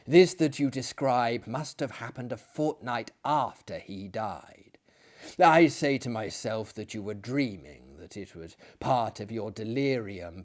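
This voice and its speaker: A man voicing a gentle, anxious artist in a gothic story, speaking to his friend in an earnest, grave, confiding way. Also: lowish voice, lightly acted. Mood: troubled.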